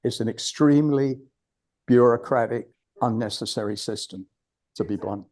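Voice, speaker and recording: A man's voice: medium pitch, moderate pace, darkish tone. An older British man giving an informal talk to a club, blunt and digressive, often restarting his sentences. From United Kingdom. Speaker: guest speaker at a club. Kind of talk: informal presentation.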